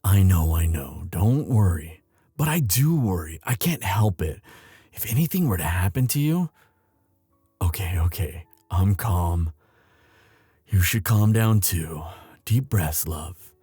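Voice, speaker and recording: A man acts out a young prince, devoted and prone to worry, in a romantic audio roleplay. His delivery is intimate and unhurried, swinging widely in pitch with feeling. The voice has a breathy, husky edge. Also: animated, mellow, no room sound, soft background music, exaggerated voice. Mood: tender, teasing. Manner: intimate, halting, emotional. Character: young prince, tender, devoted, anxious lover.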